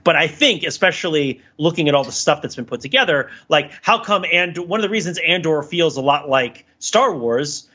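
A man speaking as a podcast panelist in casual, analytical discussion, animated and emphatic as he rambles through his points. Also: on the high side, normal speed, hardly any pauses, breathy edge, dull audio.

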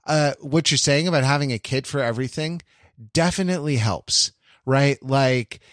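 A man hosting a casual podcast conversation, talking in a halting, rambling way as he thinks aloud. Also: mid-range voice, no room sound, clean studio sound. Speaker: podcast host.

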